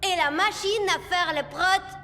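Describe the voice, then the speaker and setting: A cheerful little cartoon elf boy, very high and chirpy. He talks in an unhurried, enthusiastic way, with music and long reverb around the voice. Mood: helpful, eager. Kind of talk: children's cartoon dubbing.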